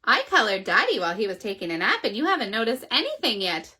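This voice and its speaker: A mischievous little girl from a storybook, played by a woman reading aloud answers in a flat, matter-of-fact way. Her voice is bright and fairly high. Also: fast, slightly rough, heavily acted. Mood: sly.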